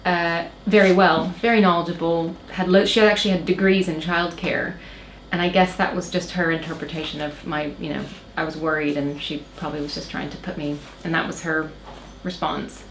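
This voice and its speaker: American woman, thirties, faint Irish lilt, lowish voice, halting guest storyteller; roomy recording with faint hiss. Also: steady tone. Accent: American with a slight Irish lilt. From Oklahoma, USA. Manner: hesitant, many fillers and restarts. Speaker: interview guest. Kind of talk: casual personal storytelling. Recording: roomy echo, faint background noise.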